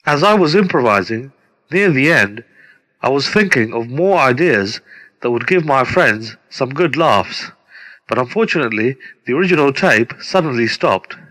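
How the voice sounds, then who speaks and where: A middle-aged man reading a scripted introduction as a presenter, deliberate and formal. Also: medium pitch, animated, a bit bright. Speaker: presenter introducing his own radio play. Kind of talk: scripted narration. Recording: long hall-like reverb.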